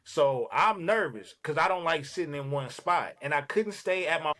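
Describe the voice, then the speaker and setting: A man from Los Angeles, a podcast guest, telling a casual, streetwise story in an animated, profane way. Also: a little high, moderate pace.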